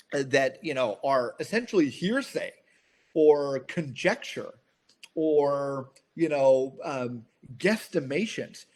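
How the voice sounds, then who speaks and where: Middle-aged male founder for a business call; earnest delivery with halting, repeated phrases. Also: fairly high pitch. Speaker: company founder. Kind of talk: business conference call discussion.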